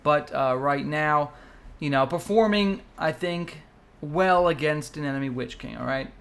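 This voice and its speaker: A man's voice: fairly high pitch, measured pace, gravelly, a bit bright. A man hosting a gaming video, giving analytical commentary with announcer-like hype and addressing his viewers directly.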